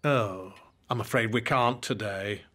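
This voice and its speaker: A man's voice: fairly low pitch, a little slow, bright, forceful tone. A man voicing an affectionate, harried king and father in a preschool cartoon, apologetic and emphatic.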